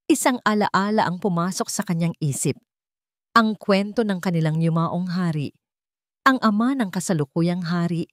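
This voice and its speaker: A woman's voice: medium pitch, no room sound, studio quality. A woman narrating a scripted story in a solemn, dramatic storytelling manner.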